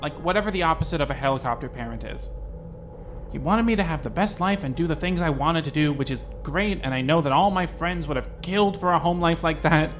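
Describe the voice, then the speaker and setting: A man playing an anxious young man in a fan audio drama, talking in a halting, rambling, self-questioning way. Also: on the high side, a little hiss or hum, lightly acted. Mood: reflective. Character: anxious young man facing his identity.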